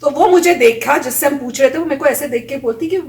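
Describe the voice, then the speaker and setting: A woman's voice: high voice, quick pace, far from the mic. A woman vlogger chatting casually, telling stories from her school days and acting out the lines of her friends. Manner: chatty, tells anecdotes with quoted voices. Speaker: vlogger reacting to a video. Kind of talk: casual storytelling chat. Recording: echoey room, voice a little off mic.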